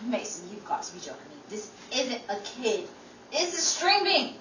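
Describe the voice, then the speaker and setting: Roomy sound with faint noise, actress as wry 1930s radio comedy woman, teasing, sarcastic, lively swings in pitch. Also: normal speed, far from the mic.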